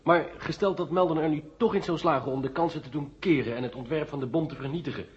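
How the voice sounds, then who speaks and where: A man's voice: on the high side, quick pace, slight background noise, close to an everyday voice. A man acting a pushy, argumentative reporter in a science-fiction radio drama. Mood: insistent. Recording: muffled old radio recording, long hall reverb.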